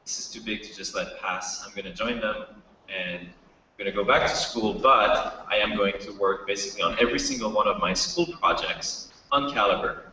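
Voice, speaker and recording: A man's voice: fairly low pitch, normal speed, bright, forceful tone, lo-fi sound, slight background noise. A man giving a casual startup talk to students, telling his own story. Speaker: startup co-founder speaker. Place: hall with a live audience. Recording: echoey hall, mic from far off.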